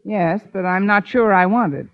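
An actress playing a wry, sharp-tongued American schoolteacher in a 1950s radio sitcom, with dry, sarcastic delivery. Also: female voice, mid-range voice, moderate pace, lightly acted. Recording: dull vintage radio recording.

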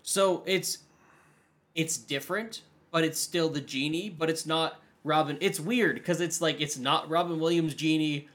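A man co-hosting a film podcast, explaining voice-casting trivia in a relaxed, knowledgeable way. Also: fairly high pitch, unhurried. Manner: explanatory, knowledgeable about voice acting. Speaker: podcast co-host. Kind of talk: casual chat about films.

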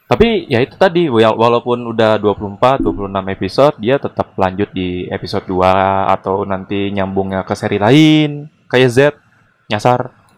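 A man's voice: on the low side, normal speed. A man in casual chat with friends, explaining show details informally.

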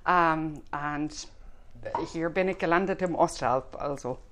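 A woman's voice: low-pitched, slow, fairly bright tone, a little hiss or hum. A woman from Dublin with an Irish accent, a guest chatting casually in German with many hesitations. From Ireland, Dublin. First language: English. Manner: hesitant, many fillers, friendly. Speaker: guest, cinema series organizer. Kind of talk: casual interview chat.

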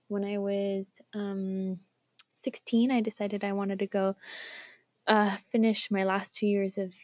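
A young woman from Switzerland, a guest telling her story in a casual chat over an online call, laughing often and filling pauses with ums. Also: medium pitch, a little mellow, no echo. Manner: chatty, laughs often, lots of fillers. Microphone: remote online call recording.

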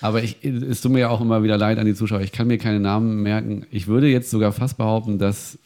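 Male co-host, lowish mellow voice, loose rambling chatter full of fillers. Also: fairly even intonation, fast. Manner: rambling, many fillers and restarts. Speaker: podcast co-host. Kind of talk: casual chat about reality TV.

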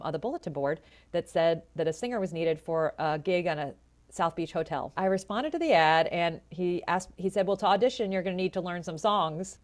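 A woman in her forties with a low voice, telling her story in a chatty, animated way as an interview guest. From Florida, USA. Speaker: jazz vocalist and educator, guest.

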